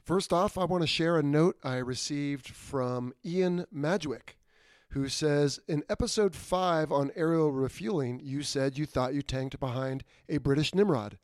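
A middle-aged man hosting a podcast in an easygoing, conversational way, often trailing off quietly at the ends of sentences. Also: fairly high pitch, measured pace, no echo. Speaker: podcast host.

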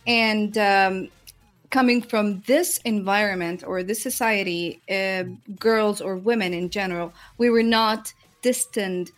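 A woman's voice: medium pitch, steady tone, a little slow. A woman from Jordan telling her personal story as a guest in a live interview, with hesitant, reflective phrasing. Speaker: podcast guest, entrepreneur. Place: live remote video call.

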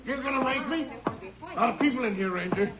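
An actor playing a bitter, defiant killer and crook in a 1950s radio western crime drama, talking tough and menacing. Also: male voice, very high-pitched, expressive, fast. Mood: menacing, cornered. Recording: noisy, muffled old radio recording.

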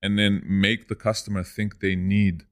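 Deep-voiced young man, laid-back. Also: measured pace. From Lebanon. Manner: relaxed, says "dude" and "man" often. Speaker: guest, e-commerce entrepreneur. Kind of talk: casual podcast interview.

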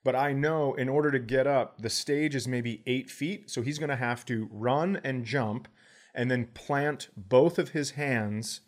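A male American comedian in casual podcast chat, with a mid-range voice. He tells stories in a profane, animated way, joking as he goes. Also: mid-range voice, a little slow.

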